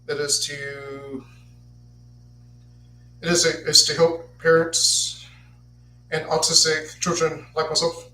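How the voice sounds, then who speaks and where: A man's voice: a little high, pushed and bright, far from the mic. Stuttering man in his twenties. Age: 20s. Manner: stutters, repeats words and restarts. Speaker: podcast host. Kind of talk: solo podcast talk, partly read aloud. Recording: echoey room, voice off-mic, faint noise.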